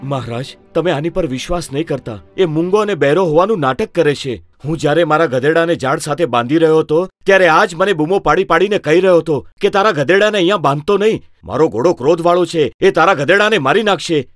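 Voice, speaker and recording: A man playing a stubborn, argumentative villager in a cartoon who defiantly demands his due. His voice sits a little high and sounds dry and close. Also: fast talker, no echo, soft background music, natural voice. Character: stubborn quarrelsome male donkey owner. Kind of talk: cartoon storybook dub.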